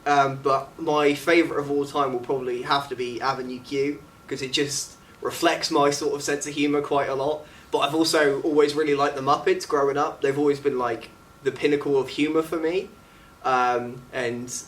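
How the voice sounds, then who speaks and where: A man talks casually, like a student actor being interviewed. His voice is mid-pitched. It is picked up from some way off, over faint background noise. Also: fairly bright tone, distant mic. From England. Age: late teens.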